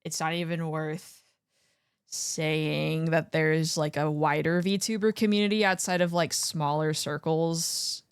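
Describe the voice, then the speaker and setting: A woman's voice: low-pitched, fairly even intonation, a little slow, clean tone, no room sound, clean studio sound. A woman streamer hosting a casual, conversational interview chat.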